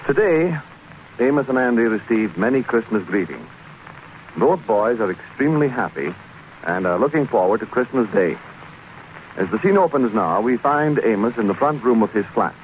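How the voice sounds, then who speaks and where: A man's voice: mid-range voice, lively intonation, normal speed. A man working as a radio announcer, reading scripted scene-setting narration in 1940s broadcast style. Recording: muffled vintage radio broadcast, faint noise.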